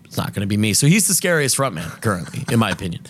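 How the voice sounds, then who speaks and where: Low-voiced man telling loose tour stories. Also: big pitch swings, very fast, a bit bright, husky. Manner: loose, profane storytelling with many fillers. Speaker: podcast co-host. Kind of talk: casual chat.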